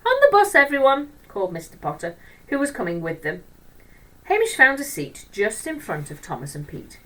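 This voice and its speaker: Expressive woman reading a children's story. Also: on the low side, moderate pace, far from the mic, poor audio. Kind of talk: expressive children's audiobook-style narration.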